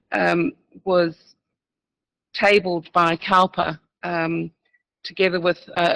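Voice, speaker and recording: A male panelist with a high, strongly gravelly voice speaks in formal policy terms. His delivery stays flat in pitch and halting, with frequent ums and restarts. The tone is bright and edged. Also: rough recording. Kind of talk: panel discussion.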